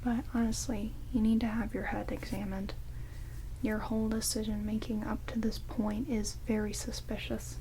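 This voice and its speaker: A woman playing a rude, sarcastic female vampire in a whispered ASMR roleplay, soft and casual, speaking into close binaural mics. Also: fairly high pitch, little pitch movement, normal speed, lightly acted, low-quality recording. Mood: grudging care. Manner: soft-spoken whispering, dry, snarky, casual. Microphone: close binaural microphones. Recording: hissy rain noise on binaural mics.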